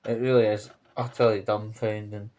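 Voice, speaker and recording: A man's voice: lowish voice, flat delivery, normal speed, a little mellow, a little hiss or hum. A man with a Glasgow-area Scottish accent giving an earnest, hesitant, informal update to camera from his kitchen at home. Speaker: vlogger.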